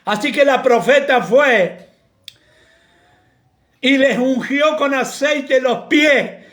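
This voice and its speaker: A male preacher with an Argentine accent and a strikingly high voice. He delivers his sermon slowly and deliberately, rebuking his listeners and often rising into fervent shouts. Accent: Argentine Spanish. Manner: impassioned, rebuking, bursts into shouting. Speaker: pastor.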